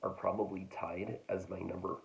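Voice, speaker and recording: A man casually talking through a book haul, hesitant and full of fillers, his voice getting raspy. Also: deep voice, flat delivery, normal speed, poor audio. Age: adult. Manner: hesitant, full of ums and restarts. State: getting raspy, clearing throat. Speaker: book vlogger.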